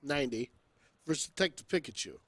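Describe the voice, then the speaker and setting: A man hosts a casual podcast discussion. His voice sits a little higher than average for a man. The sound is dry, with no echo around him. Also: animated, a little fast, fairly bright tone. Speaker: podcast host. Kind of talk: casual chat.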